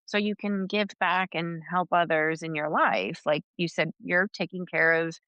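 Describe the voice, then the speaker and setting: A woman's voice: fairly low pitch, normal speed, sharp tone, dry recording, muffled recording. A woman hosting a podcast interview, encouraging her guest with short affirmations and questions. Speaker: podcast host. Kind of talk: casual interview conversation.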